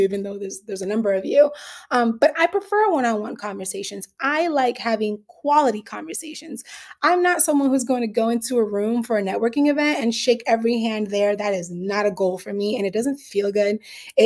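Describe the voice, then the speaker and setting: A woman's voice: on the high side, darkish tone. A woman from the Dominican Republic giving an earnest, candid summit talk, with frequent fillers and restarts. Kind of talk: conversational online presentation.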